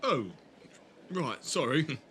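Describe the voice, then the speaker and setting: Man playing eager companion, apologetic manner, bright voice, faint noise behind, audio drama. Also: fairly high pitch, lively intonation, takes it slowly. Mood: awkward, apologetic. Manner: chatty, awkward. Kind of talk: fan audio drama acting.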